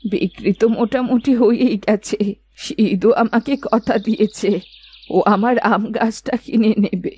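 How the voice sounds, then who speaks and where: A woman's voice: on the high side, measured pace, mellow, breathy edge. A woman voicing a poor, stubborn, money-minded old village woman in a cartoon moral story. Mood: bargaining. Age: older. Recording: music behind the voice.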